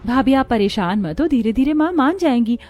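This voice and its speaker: A woman's voice: high voice, expressive, fairly quick, darkish tone. A woman voicing a cheerful, supportive young sister-in-law in a cartoon family story. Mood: encouraging.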